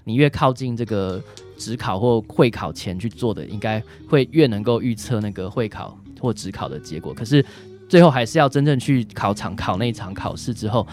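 A man with a Taiwanese accent and a fairly low voice answers as a medical guest on a radio show. He explains conversationally, closing phrases with little tag particles, over faint guitar music. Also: expressive, moderate pace, a little mellow. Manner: frequent short tag particles at phrase ends. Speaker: doctor answering questions, guest.

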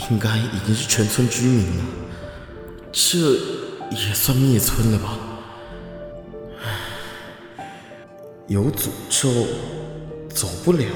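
A man acts out a male police officer in an audio drama, probing yet preoccupied. His comes slowly, in short, hesitant phrases. Faint music sits behind his voice. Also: fairly low pitch. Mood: probing, somber. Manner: hesitant, slow, terse.

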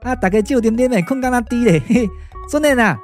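Shrill busybody servant, chattery n eager, puppet radio drama w music behind. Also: male voice, a little fast. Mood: fussing, bustling. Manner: repetitive, bustling chatter. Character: fussy, eager comic male servant, chattering and obliging.

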